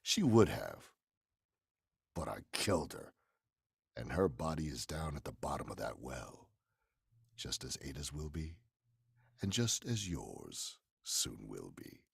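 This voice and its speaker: An actor playing a menacing, commanding murderer in 1940s radio horror style, gravelly and theatrical. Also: male voice, extremely low pitch, sing-song, slow pace, pauses often, no room sound, clean studio sound, heavily acted. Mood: cold, menacing. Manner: theatrical, forceful. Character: menacing male murderer, commanding, coldly confessing.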